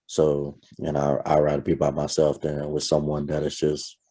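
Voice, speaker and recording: A man talking in a reflective, soft-spoken way as a guest in a casual conversation, pausing to search for words. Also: very deep voice, completely flat delivery.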